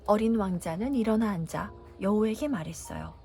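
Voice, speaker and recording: Mom reading a bedtime story, gentle and slow, faint music in the back. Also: female voice, mid-range voice, animated. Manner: gentle, unhurried. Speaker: storybook reader.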